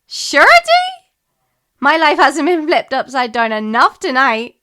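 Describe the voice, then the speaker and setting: A woman's voice: high-pitched, wildly swooping pitch, slow, bright, forceful tone, slight background noise, full character voice. A young woman playing a feisty, sceptical student in an amateur audio drama, with a stubborn, sarcastic manner. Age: young adult.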